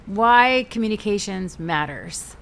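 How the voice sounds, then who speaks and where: A middle-aged American woman, a guest in a talk-show interview, telling stories in an energetic, animated way. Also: mid-range voice, takes it slowly, gravelly, a little hiss or hum. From United States. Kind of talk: conversational interview.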